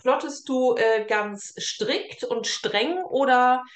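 Higher-voiced woman interviewer in an echoey room. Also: takes it slowly. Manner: informal, with tag questions seeking agreement. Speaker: interviewer, host. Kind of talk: casual chatty interview. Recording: roomy echo on the voice.

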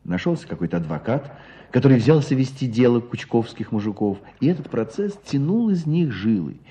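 An actor playing an earnest, compassionate storyteller, narrating village life in a radio drama. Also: male voice, medium pitch, sing-song, brisk, warm tone, breathy edge. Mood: solemn. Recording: dull muffled radio play recording.

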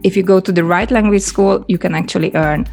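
A woman's voice: mid-range voice, fairly even intonation, a little fast, darkish tone, soft background music. A woman with a Hungarian accent, chatting casually and rambling through stories with lots of fillers. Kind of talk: casual chat.

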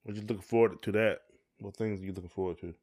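Low-voiced man, folksy podcast host. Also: steady tone, fast, darkish tone. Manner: folksy, encouraging. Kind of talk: casual podcast chat.

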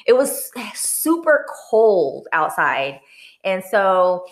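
Female co-host, relaxed anecdotes full of fillers. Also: lowish voice, extremely slow. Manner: relaxed storytelling with many fillers. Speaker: podcast co-host. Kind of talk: casual chat.

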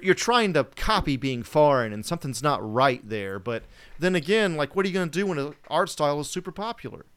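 Smooth-voiced man musing casually. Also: on the high side, a bit bright. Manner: musing, loose with fillers. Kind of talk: casual chat.

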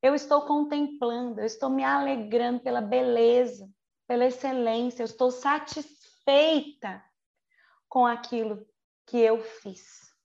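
A woman in her forties with a Brazilian Portuguese accent gives a conversational sermon, stretching key words for emphasis between quick chatty asides and checking in with her listeners through rhetorical questions. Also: on the high side, fairly even intonation, vocal fry, darkish tone, dull audio. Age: 40s. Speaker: preacher.

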